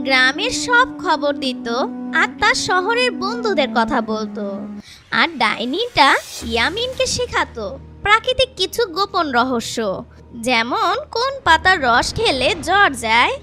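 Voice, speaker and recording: A woman narrating a scripted children's story in a dramatic, expressive way, with music behind her. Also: very high-pitched.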